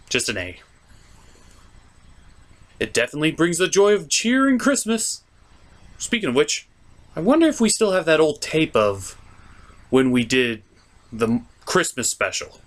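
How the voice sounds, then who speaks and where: Sarcastic reviewer with swooping, animated pitch. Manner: sarcastic, sweary, emphatic. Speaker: host and reviewer. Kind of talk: comedic scripted review narration.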